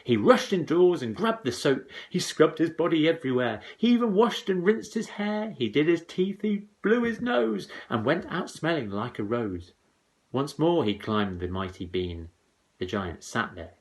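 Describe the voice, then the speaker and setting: A man reading comic verse aloud to children as a dramatic, theatrical storyteller. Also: mid-range voice. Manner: dramatic, expressive, deliberate rhymed delivery.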